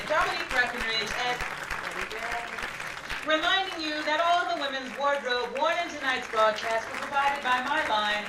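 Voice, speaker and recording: A woman hosting and narrating a 1930s-style radio show with a theatrical, playful delivery, recorded roomy and distant. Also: high-pitched. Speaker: host and narrator of a radio play. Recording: roomy, distant mic, noisy crowd.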